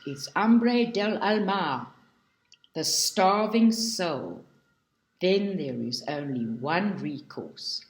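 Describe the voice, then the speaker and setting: A woman reading a book aloud in a slow, deliberate and expressive way. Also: fairly low pitch. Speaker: reader. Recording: roomy echo.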